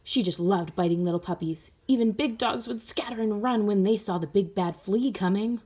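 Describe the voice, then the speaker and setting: A woman's voice: mid-range voice, normal speed, mellow. A woman reading a storybook aloud for children, expressively, speaking directly to young listeners. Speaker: storybook reader. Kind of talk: animated children's read-aloud.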